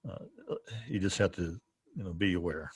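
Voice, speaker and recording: A man hosting a podcast in casual conversation, informal and halting in his delivery. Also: sits low, breathy edge, no room sound. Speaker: podcast host.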